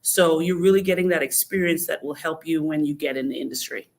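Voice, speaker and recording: A woman from the Bahamas, a podcast guest, speaking in a measured, explanatory way with frequent fillers. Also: low voice. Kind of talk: conversational podcast interview.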